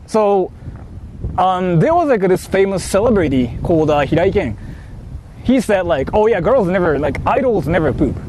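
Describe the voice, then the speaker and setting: A young man with a Japanese accent rambles through a casual live stream, joking and circling back with fillers and repeats. His voice sits a bit high for a man. Loud wind buffets the phone mic outdoors. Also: very animated, moderate pace, rough recording.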